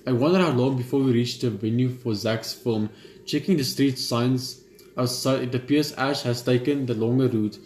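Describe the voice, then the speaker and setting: A man's voice: medium pitch, normal speed, a little mellow, roomy sound, slight background noise. A man who hosts a gaming channel, reading a visual novel's text aloud and chatting between passages, casual and stumbling, with the odd offhand swear.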